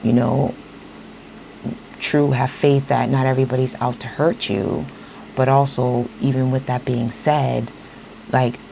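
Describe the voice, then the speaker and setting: A woman giving a casual tarot reading straight to her viewers, a little hoarse as she is losing her voice, often checking in with "okay?". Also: extremely low pitch, level tone, a little slow, pauses often, dark tone, slight background noise, rough recording. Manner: talks straight to viewers, repeats "okay?" and "you know". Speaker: tarot reader.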